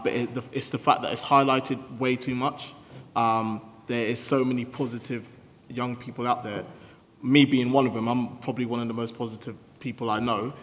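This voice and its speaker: A man in his twenties speaking on a youth panel, upbeat with frequent ums. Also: medium pitch. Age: 20s. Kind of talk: live panel discussion. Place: conference room. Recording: hall reverb with faint noise.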